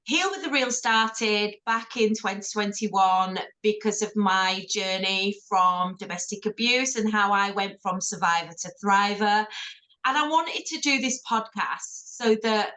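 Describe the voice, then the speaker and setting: A woman's voice: medium pitch, bright, forceful tone. A woman hosts her own podcast, her voice in a middle range. She talks in a friendly, conversational way as she opens the show and brings in her guest. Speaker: podcast host. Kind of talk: podcast introduction.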